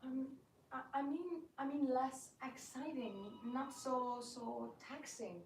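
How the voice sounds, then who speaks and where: Woman acting high-voiced, sweet queen, echoey. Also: takes it slowly. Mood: hesitant, worried. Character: sweet, anxious peacemaking queen and grandmother. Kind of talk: theatrical dramatic reading. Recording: echoey room, distant mic, background noise.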